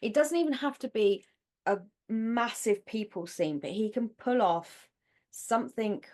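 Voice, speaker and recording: An Englishwoman co-hosting a podcast, opinionated and chatty, talking in quick bursts in casual conversation. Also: a little high, darkish tone. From England. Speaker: podcast co-host. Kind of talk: casual chat.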